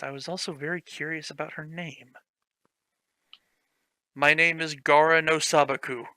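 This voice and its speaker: A man's voice: on the high side, sharp tone, dry recording. A man reading a clumsy fan story aloud, mocking it with sarcastic, swearing commentary. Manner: sarcastic, swears, laughs at the text.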